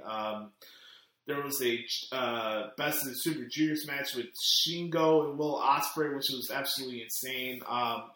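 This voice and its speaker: A man answers listener questions on his podcast, rambling through fillers and restarts. His voice sits at a middle pitch for a man. The recording is very echoey, as if made in a bare, reflective room. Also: sharp tone. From Chicago, United States. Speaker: podcast host. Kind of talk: casual podcast Q&A.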